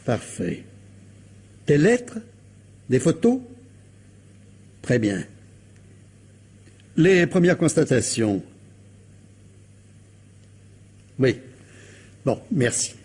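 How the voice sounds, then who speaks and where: An actor playing a shrewd, insistent police commissioner who questions a suspect with courteous, insinuating pressure, in a French radio crime drama. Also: male voice, medium pitch, sing-song, drawn-out words, long pauses, a little mellow, husky, exaggerated voice. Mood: brisk.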